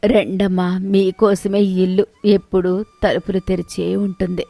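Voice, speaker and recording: A woman's voice: medium pitch, level tone, a little slow, creaky, warm tone, no echo. Woman playing a tender old village mother-in-law. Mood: welcoming, relieved.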